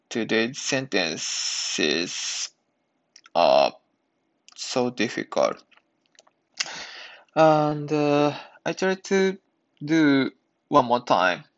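Slow, creaky-voiced man. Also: mid-range voice. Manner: halting, careful learner's English. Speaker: podcast host practicing English. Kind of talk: reading aloud practice and simple podcast talk. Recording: dull lo-fi sound.

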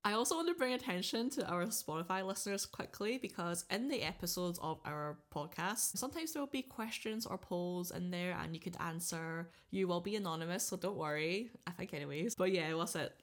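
A woman's voice: on the low side, lively intonation, brisk, few pauses, faint music behind. A young woman hosting a podcast in casual chat, teasing her co-host and laughing often. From Scotland.

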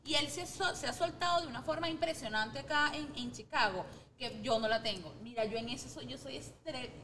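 A woman with a Venezuelan accent co-hosting a casual interview, feeling her way through questions in halting phrases. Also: high-pitched, bright, forceful tone, slight background noise. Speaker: interviewer, co-host.

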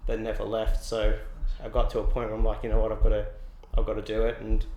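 A man's voice: fairly low pitch, monotone, fast, warm tone, a little hiss or hum. Easygoing Australian man, roomy sound. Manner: rambling, lots of "like" and restarts. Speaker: artist guest. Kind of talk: casual interview. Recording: roomy echo.

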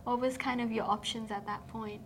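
A woman hosting a podcast, asking questions casually and encouraging her guest with quick affirmations. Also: a little high, steady tone, gravelly, a bit bright, slight background noise. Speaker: podcast host. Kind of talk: casual interview chat.

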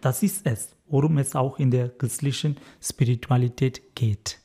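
Slow, halting Indian-accented man. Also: mid-range voice, steady tone, warm tone, slightly rough. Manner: careful, halting German with fillers, recently learned. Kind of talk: interview answers.

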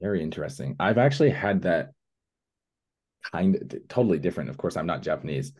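Low dark husky guy hosting a chat, laid back and thoughtful. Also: quick pace. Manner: thoughtful, relaxed, shares own stories. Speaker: podcast host. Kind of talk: casual interview chat.

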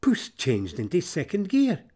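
A Scottish man narrating fables in Scots verse, theatrical and expressive, moving between hushed drops and emphatic swells. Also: high-pitched, measured pace, husky.

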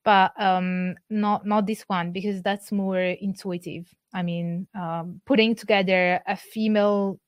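A woman talks through scholarly sources in a careful, measured lecture. Her voice sits in a middling range, neither high nor low. The sound is dry, with almost no room around it. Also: little pitch movement, no room sound. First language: Italian. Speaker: presenter. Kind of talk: academic lecture.

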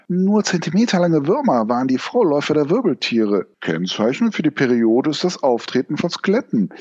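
Ein Mann, der in einem Hörspiel eine belehrende Giraffe spricht und wie in einem Vortrag erzählt. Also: male voice, fairly high pitch, darkish tone, dull audio. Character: burning giraffe, lecturing, defiantly life-affirming. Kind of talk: audio drama, lecture-like narration.

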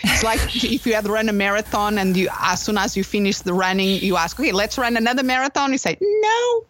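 A woman from Portugal with a Portuguese accent, a business coach talking as an interview guest in lively, slightly nonnative English with many fillers and restarts. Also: medium pitch, fairly quick, dry recording. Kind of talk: interview conversation.

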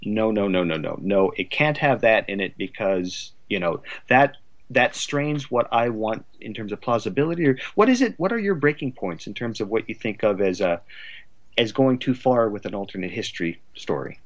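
A man's voice: on the low side, expressive, moderate pace. Thoughtful, articulate man in conversation. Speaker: podcast guest, writer.

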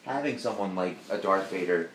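A man co-hosting a podcast, chatting casually and enthusiastically about films, with a deep voice and lots of fillers. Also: moderate pace, fairly bright tone, a little hiss or hum, low-quality recording. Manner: rambling, enthusiastic fan talk with fillers. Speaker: podcast co-host. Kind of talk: casual chat.

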